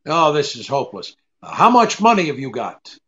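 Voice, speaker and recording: A man's voice: fairly high pitch, big pitch swings, normal speed, a bit bright, muffled recording. A man playing a wry, tough, unflappable private detective in a 1940s-style radio drama, with an offhand way of questioning. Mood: brusque, probing.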